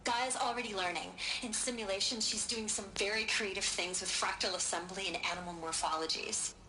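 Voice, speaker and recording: A woman's voice: medium pitch, fairly even intonation, a little fast, bright, forceful tone, lightly acted, rough recording. An actress playing a playful female scientist explaining her work in a recorded message in a video game. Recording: game audio with background noise.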